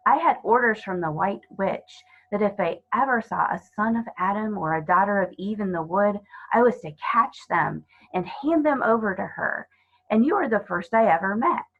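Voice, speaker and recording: Woman voicing a guilt-ridden, tearful male faun, husky. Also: medium pitch, expressive, moderate pace, phone-quality sound. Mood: anguished confession. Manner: melodramatic, pleading. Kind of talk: children's storybook character voice.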